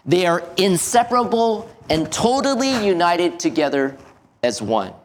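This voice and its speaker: A male pastor preaching slowly and deliberately. His pitch moves a lot as he speaks, lifting on key words and dropping at the end of each phrase. The delivery is calm and steady throughout. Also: slight background noise. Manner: slow, measured preaching with lively pitch and drops at phrase ends. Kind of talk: sermon.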